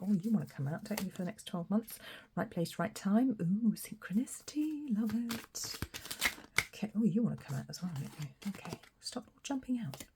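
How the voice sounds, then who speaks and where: A woman with a hoarse, croaky voice after a cold, giving a chatty, encouraging tarot reading straight to the viewer. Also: medium pitch, warm tone, a little hiss or hum. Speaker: tarot reader. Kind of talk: casual pick-a-card tarot reading.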